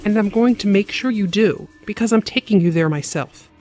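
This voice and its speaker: A woman's voice: medium pitch, moderate pace, a little mellow, soft background music, close to an everyday voice. An actress playing a stern, coldly resolute wife and mother in an audio drama, firm and commanding.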